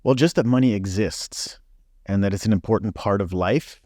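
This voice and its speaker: A man's voice: fairly low pitch, moderate pace, warm tone, no echo, clean studio sound. A man in his forties, born in Belgium, speaking frankly as a podcast guest with many fillers. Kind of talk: casual interview chat.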